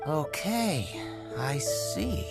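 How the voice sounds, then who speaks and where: A man's voice: fairly high pitch, big pitch swings, drawn-out words, gravelly, music in the background, heavily acted. An actor playing a shifty, evasive male informant in a video game, theatrical and prone to hesitant self-correction. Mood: knowing. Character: shifty, evasive male informant, bad at hiding his thieving allegiance.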